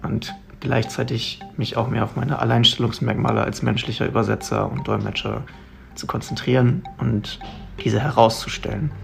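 Low, gravelly man over music. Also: level tone, normal speed. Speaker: student speaker. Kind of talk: reflective monologue. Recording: music playing underneath.

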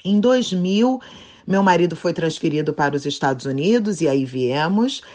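A middle-aged Brazilian woman, a Portuguese teacher, giving a steady, orderly interview answer in a Brazilian accent. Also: low voice. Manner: measured and orderly, lists items one by one.

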